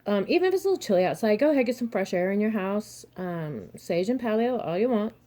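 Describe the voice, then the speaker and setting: A young adult woman, a little hoarse and throaty, chatting frankly to her viewers with advice, laughing often and cursing mildly. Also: mid-range voice, lively intonation, fast talker, warm tone. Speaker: vlogger, single mother and reseller. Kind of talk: casual advice monologue to camera.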